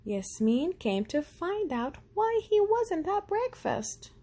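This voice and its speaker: A woman reading a children's storybook aloud as narrator, expressive and deliberate. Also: very high-pitched.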